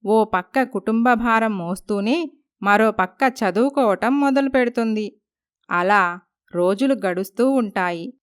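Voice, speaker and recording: A woman's voice: mid-range voice, measured pace, clean tone. A woman reading scripted narration for a moral story.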